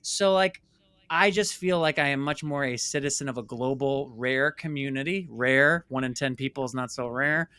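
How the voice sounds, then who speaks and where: A man in his late thirties from New York City, an interview guest who talks in an emphatic, animated storytelling way and voices imagined dialogue. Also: medium pitch, normal speed, clean tone. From New York City, United States. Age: late 30s. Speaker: interview guest, filmmaker and company founder.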